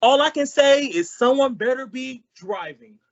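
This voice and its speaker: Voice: sits high, expressive, moderate pace, lo-fi sound. A performer playing a bumbling, easily confused male sidekick in an old-time radio comedy, full of wisecracking retorts. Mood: baffled.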